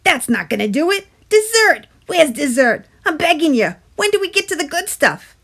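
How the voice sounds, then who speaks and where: A storybook sweet tooth with a demanding, brash streak, acted out by a woman. It speaks loudly in a raised, bouncing pitch. It wheedles and wisecracks like a pushy little pest. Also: sing-song, moderate pace, heavily acted. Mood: wheedling, pleading. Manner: loud, pleading, brash wisecracking. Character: bossy, wheedling talking sweet tooth craving candy. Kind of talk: animated picture-book character voice.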